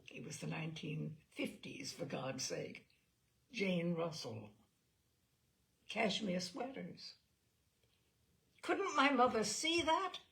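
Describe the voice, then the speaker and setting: A woman reads a comic personal essay with theatrical flair. Her medium-pitched voice moves widely up and down and often drops into a creaky fry. Her phrasing is expressive and emphatic, shaped like a performance for listeners. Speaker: reader of literary selections.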